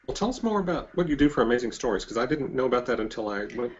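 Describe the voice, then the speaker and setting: A man's voice: mid-range voice, big pitch swings, racing, highs cut off. A man hosting a casual interview, talking conversationally and putting questions to his guest. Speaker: interviewer, host.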